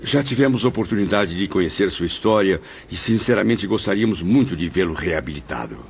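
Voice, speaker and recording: An actor playing an earnest, compassionate man visiting a friend in an audio drama, with formal, gentle phrasing. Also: low voice, expressive, fairly quick, creaky, a little hiss or hum. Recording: muffled audio with music behind.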